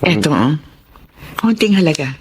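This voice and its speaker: A woman playing a gentle, coaxing mother with a Filipino accent in a radio drama. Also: low-pitched, sing-song, normal speed, slight background noise. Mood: coaxing, generous.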